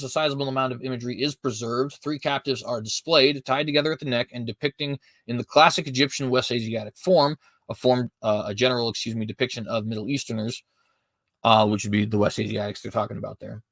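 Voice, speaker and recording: A man's voice: medium pitch, fairly even intonation, quick pace, fairly bright tone, no echo. Dry-voiced man reading commentary. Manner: reads prepared text, frequent fillers and self-corrections. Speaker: podcast host. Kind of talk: apologetics commentary reading notes.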